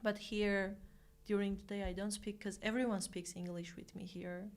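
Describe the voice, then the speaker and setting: A young woman from Armenia speaking English with an Armenian accent, a guest chatting casually in an interview, halting often with fillers and restarts. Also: mid-range voice, little pitch movement.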